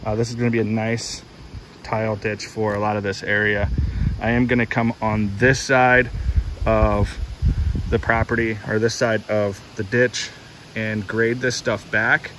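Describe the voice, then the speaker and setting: A man's voice: on the low side, level tone, unhurried, noisy background. An American man in his thirties giving a casual video update to viewers, recorded outdoors on a handheld phone. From United States, Ohio. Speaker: outdoors vlogger. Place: outdoors in woods. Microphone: phone held at arm's length.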